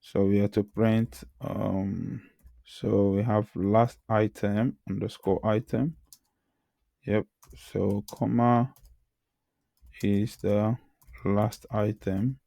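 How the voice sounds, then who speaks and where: A hoarse man who says he has lost his voice, teaching programming and talking through the code he types, often clearing his throat. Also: on the low side, mellow, no room sound. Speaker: programming tutor. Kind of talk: screen-recorded coding tutorial.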